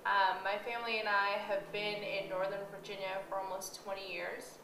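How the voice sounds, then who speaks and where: A woman's voice: mid-range voice, level tone, moderate pace, noisy background. A young woman from the Philippines giving an earnest, reflective personal testimony about her faith. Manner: earnest, reflective, with light laughs. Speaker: church member telling her story. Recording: roomy sound with music behind.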